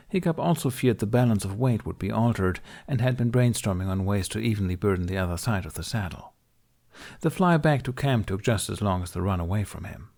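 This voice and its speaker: Low-voiced male audiobook narrator. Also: a little mellow. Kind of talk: scripted fiction narration.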